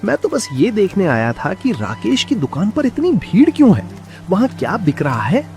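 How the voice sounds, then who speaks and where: A man's voice: sits high, huge pitch swings, moderate pace, a little mellow, soft background music, exaggerated voice. A man voicing a genial, curious older village uncle in a cartoon story, easygoing and inquisitive. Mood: curious, amused. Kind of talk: animated cartoon story dialogue.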